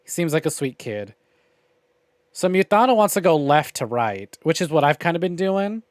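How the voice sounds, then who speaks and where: A man's voice: a little high, very animated, normal speed, dry recording, clean studio sound. A man giving casual, opinionated livestream commentary on a visual novel game, with wry, profane grumbling. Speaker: game streamer.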